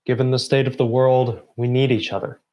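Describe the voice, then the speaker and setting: A man delivering a prepared closing address in deliberate phrases broken by pauses. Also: mid-range voice, steady tone, dull audio. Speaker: member of a student theatre group giving closing remarks.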